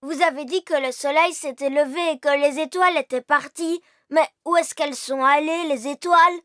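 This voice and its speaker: A woman's voice: sits high, moderate pace, bright, forceful tone, dry recording, clean studio sound. A woman voicing an earnest, easily indignant little elf boy in a French cartoon dub. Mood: curious.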